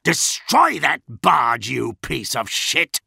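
A man's voice: medium pitch, very animated, slow pace, vocal fry, pushed and bright, slightly rough, dry recording, clean studio sound, pitched above the performer's own voice, full character voice. A man voicing a furious, domineering male warlord in a science fiction audiobook, imperious and snarling. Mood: enraged.

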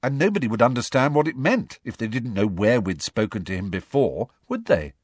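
A man narrating an audiobook, playing an earnest, excitable teenage schoolboy with an urgent, questioning manner. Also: medium pitch, a little fast, husky, no echo, clean studio sound. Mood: puzzled, insistent.

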